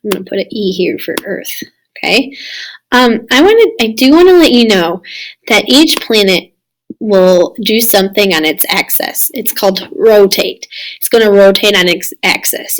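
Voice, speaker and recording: A woman with a slightly raspy voice, recovering from losing it, giving a casual recorded slideshow lesson as a science teacher. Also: mid-range voice, rough recording. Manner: casual, explanatory, addressing students. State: recovering from lost voice.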